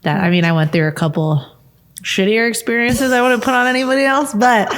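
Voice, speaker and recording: A woman's voice: fairly high pitch, fairly even intonation, brisk. A woman hosting a casual podcast conversation, chatty and upbeat, laughing often. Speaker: podcast host.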